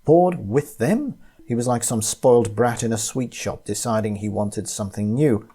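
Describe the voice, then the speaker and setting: A man reading a short story aloud as its narrator, in an expressive, dramatic way. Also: mid-range voice, moderate pace, breathy edge.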